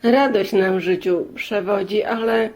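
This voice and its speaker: Woman as poor village dad, storybook tale, cheerful, hospitable, open, slow, gravelly edge. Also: high-pitched, steady tone, darkish tone. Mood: earnest, hopeful.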